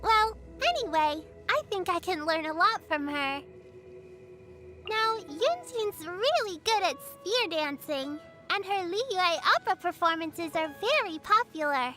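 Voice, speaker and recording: A woman's voice: very high-pitched, animated, measured pace, pushed and bright, full character voice. A woman voicing a sweet, earnest little girl in an anime game, caring, innocent and chatty. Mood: admiring. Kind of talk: anime game character voicelines. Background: background music.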